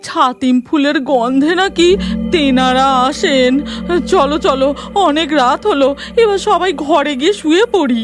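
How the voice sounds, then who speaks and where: A woman's voice: sits high, unhurried, over music. A woman doing a fretful, caring elder wife. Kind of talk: storybook narration with acted voices.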